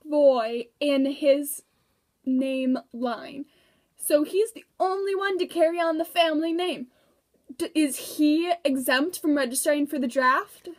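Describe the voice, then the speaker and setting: A woman's voice: high-pitched, takes it slowly. A woman playing an innocent, concerned audience member in a puppet show. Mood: curious.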